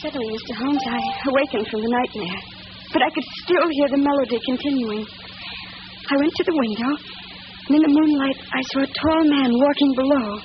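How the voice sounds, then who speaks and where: A frightened young lady played by a woman in a vintage radio drama, high-voiced, earnest and melodramatic. The recording is muffled and noisy. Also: normal speed, lightly acted. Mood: haunted. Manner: breathless, fearful, polite, melodramatic. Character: timid, anxious young English gentlewoman, devoted daughter. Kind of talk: 1940s radio drama acting.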